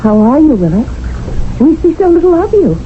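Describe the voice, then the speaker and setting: An actress playing a prim, earnest English spinster in colonial India who talks in a stiff, precise way. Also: female voice, high voice, big pitch swings, moderate pace. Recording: dull 1970s radio broadcast with faint noise.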